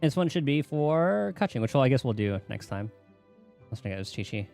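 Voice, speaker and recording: Creaky-voiced, animated male game streamer. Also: medium pitch, moderate pace, faint music behind. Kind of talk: casual live gameplay commentary.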